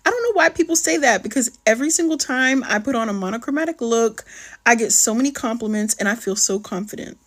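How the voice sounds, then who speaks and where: A woman's voice: on the high side, lively intonation, quick pace, a bit bright. A woman giving earnest, confident fashion advice in a vlog.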